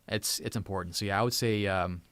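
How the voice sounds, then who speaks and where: A man's voice: fairly low pitch, fairly even intonation, fairly quick, a bit bright, smooth, dry recording. Upbeat man chatting on a live stream. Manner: upbeat, thankful, restarts and repeats words often. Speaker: tech channel host. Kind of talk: casual live stream chat. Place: home room.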